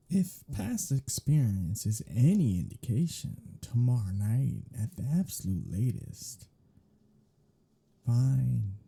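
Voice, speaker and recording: A man playing a sardonic, teasing vampire in an intimate ASMR roleplay, with a husky, breathy voice and a sarcastic, mocking drawl. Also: lowish voice, warm tone, heavily acted. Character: sardonic, teasing male vampire, grumbling and irreverent.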